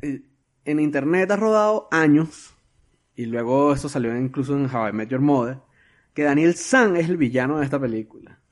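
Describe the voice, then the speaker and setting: A man with a fairly high voice tells a movie scene in a loose, chatty podcast. He curses freely, stumbles and restarts, and piles on slang as the story builds. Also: expressive, normal speed. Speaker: podcast co-host. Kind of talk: casual film chat.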